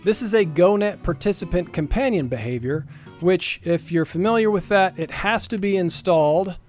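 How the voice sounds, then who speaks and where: A man's voice: high-pitched, animated, normal speed, darkish tone. A man narrating an informal screen-share programming demo as a developer, explaining step by step in a casual way and stressing key points, with guitar music behind him. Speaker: software developer presenting a demo. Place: indoors, screen recording voice-over.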